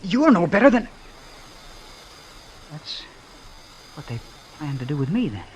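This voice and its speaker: A man's voice: fairly high pitch, very animated, a little slow, breathy edge, full character voice. An actor in an old-time radio drama playing an earnest, lovestruck young man, nervous and indignant, with a hesitant, stammering delivery. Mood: horrified, indignant. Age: young adult. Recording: noisy vintage radio broadcast recording.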